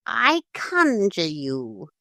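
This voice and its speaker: A woman voicing a talking parrot who speaks earnestly, calmly and formally. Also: a little high, slow, no echo, studio quality, voice pushed up, exaggerated voice. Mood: earnest pleading. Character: earnest, stately talking parrot, polite but commanding.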